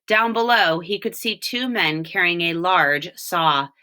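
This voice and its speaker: A woman reading a children's storybook aloud, with expressive, deliberate phrasing and short explanations. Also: lowish voice. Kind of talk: read-aloud storytelling with brief picture explanations.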